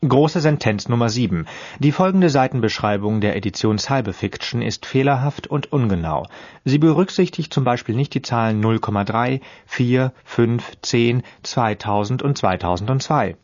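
A man's voice: mid-range voice, lively intonation, moderate pace, darkish tone. Man reciting in dry declamation, lo-fi. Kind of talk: experimental radio piece reading.

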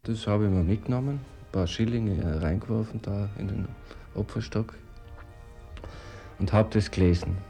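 An older man tells a story in a mellow, fairly low voice, pausing and hedging with dry humor. Soft music sits faintly behind him. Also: gravelly. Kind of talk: personal anecdote in an interview.

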